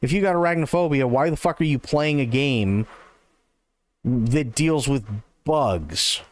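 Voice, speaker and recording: A man's voice: medium pitch, measured pace. A man ranting sarcastically while reacting to a live showcase stream, swearing often and mocking what he hears. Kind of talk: profane live-stream commentary.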